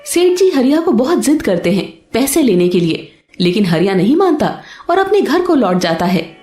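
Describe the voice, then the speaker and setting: A woman tells a story in a high, mellow voice that rises and falls expressively. The narration has a roomy echo, and soft sitar music plays behind. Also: very animated, moderate pace. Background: soft instrumental music with sitar.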